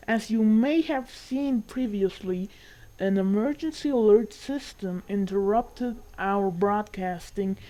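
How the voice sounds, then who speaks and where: A woman's voice: medium pitch, darkish tone, natural voice, poor audio. A woman playing a polite female news anchor in a scripted broadcast. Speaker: news presenter.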